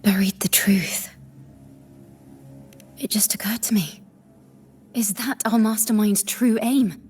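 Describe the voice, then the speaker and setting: A woman voicing a thoughtful, inquisitive young female bard in a video game, speaking softly and in a measured, reflective way. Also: medium pitch, a little hiss or hum, lightly acted. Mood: pensive, grave. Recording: music behind the voice.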